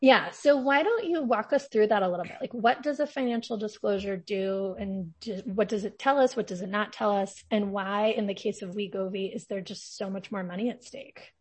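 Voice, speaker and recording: A woman in her forties, a journalist hosting an interview podcast in a calm, lowered radio voice. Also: normal speed, hardly any pauses. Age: 40s.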